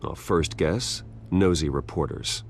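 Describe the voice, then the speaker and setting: A man's voice: sits low, takes it slowly, slight background noise. An actor playing a brooding, guarded wealthy man in his thirties with an American accent in a video game. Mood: guarded, dry. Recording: music behind the voice.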